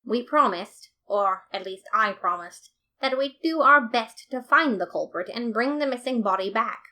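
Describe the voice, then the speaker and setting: A woman narrating a fan fiction story as a podfic reader, in a steady, measured way. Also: a little high.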